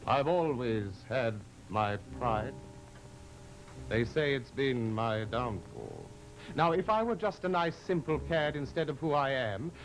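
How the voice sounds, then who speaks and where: Suave, courtly Devil in radio horror. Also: male voice, mid-range voice, poor audio. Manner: mannered, over-polite, deliberate, with dramatic pauses. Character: the Devil as a suave, proud, courtly gentleman dealmaker. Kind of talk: old-time radio horror acting. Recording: vintage radio drama, music behind.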